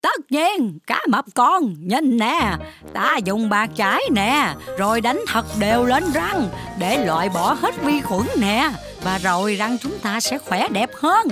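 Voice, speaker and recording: A cheerful grandma shark in a children's cartoon. She sounds high and very lively, explaining slowly and patiently over clearly audible music. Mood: encouraging. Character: cheerful grandma shark dressed as tooth fairy, instructive.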